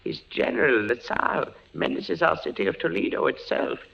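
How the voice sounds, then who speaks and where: An actor playing a stern, pitiless judge of the Inquisition, speaking gravely and with measured weight in 1940s radio style. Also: male voice, on the high side, big pitch swings, a little hiss or hum, heavily acted. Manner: grave, measured, pronouncing sentence. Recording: dull old radio broadcast with music.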